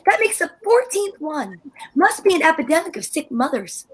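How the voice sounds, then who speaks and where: A woman's voice: sits high, sing-song, moderate pace. A woman playing a sarcastic, scheming housewife in an amateur old-time radio comedy. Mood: exasperated. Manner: tart, sarcastic, flustered. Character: scheming indignant housewife Liz.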